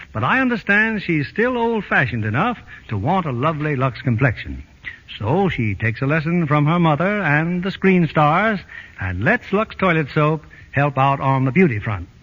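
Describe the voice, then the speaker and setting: A man's voice: a little high, a bit bright. A man narrating from a script as a 1940s radio announcer. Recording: muffled old radio broadcast.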